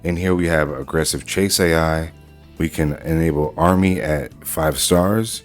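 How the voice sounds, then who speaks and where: A man hosting a casual software walkthrough, talking informally through each step as he goes. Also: very deep voice, a little slow, soft background music. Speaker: tutorial host.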